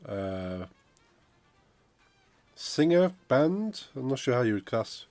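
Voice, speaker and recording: A man, croaky from a cold, chatting casually on a game dev stream and reading out chat. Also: medium pitch, big pitch swings, slow pace, darkish tone, dry recording. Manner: rambling, chatty, reads out chat messages. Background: funky music playing.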